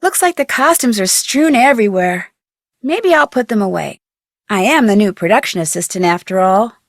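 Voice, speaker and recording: A woman's voice: medium pitch, expressive, normal speed, dry recording, studio quality. A woman playing a curious young woman sleuth in an adventure game, musing aloud to herself. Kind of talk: scripted adventure game monologue.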